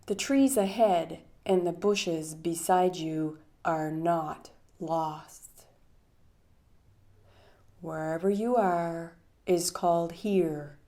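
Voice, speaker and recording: A woman leading a guided meditation with poetry readings, speaking soothingly with long pauses and a breathy trail-off at the ends of phrases. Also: on the low side, mellow.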